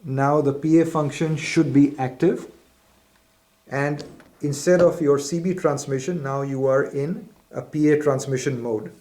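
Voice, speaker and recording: A man's voice: a little high, fairly even intonation, measured pace, dark tone. Man giving a methodical product demonstration. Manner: methodical, step-by-step explaining. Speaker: product presenter.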